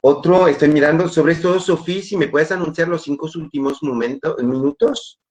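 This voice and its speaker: A man's voice: fairly high pitch, moderate pace, darkish tone. A man with a French accent giving a webinar talk in Spanish, hesitant and often repeating words, heard over a video call. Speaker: webinar speaker.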